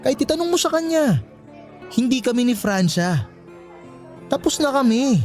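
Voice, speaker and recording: An actor with a Filipino accent playing a flustered, apologetic young boyfriend, pleading and placating in a radio romance drama. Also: male voice, very high-pitched, very animated, moderate pace, a little mellow, faint music behind. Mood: reassuring.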